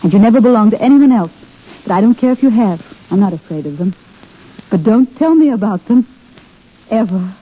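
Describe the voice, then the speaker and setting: A woman's voice: mid-range voice, expressive, a little fast, a little hiss or hum, natural voice. An actress playing a devoted, tender and resolute young pregnant woman in a 1930s radio drama. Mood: tender, composed. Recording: narrow, tinny 1930s radio recording.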